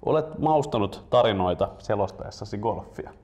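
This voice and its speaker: A man's voice: lowish voice, expressive, a little mellow. Young man presenting, slightly muffled sound. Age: 20s. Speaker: host. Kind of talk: scripted-style presenting and casual chat.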